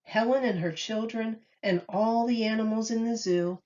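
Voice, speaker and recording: A woman reading a children's story aloud with expressive, measured storytelling. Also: a little high, highs cut off. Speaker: storybook reader.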